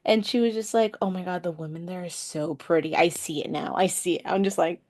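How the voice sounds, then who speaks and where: A young woman from Mexico co-hosting a podcast, telling stories in a lively, laughing way. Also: lowish voice, brisk. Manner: lively storyteller, laughs often. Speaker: podcast co-host, counselor. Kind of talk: casual podcast chat.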